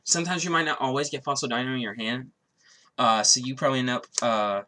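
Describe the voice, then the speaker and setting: A man's voice: mid-range voice, fairly even intonation, fast, background noise. A man who is a little sick, with a stuffy nose and sore throat, casually walking through a card game deck, rambling with lots of fillers. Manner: rambling, filler-heavy, restarts often.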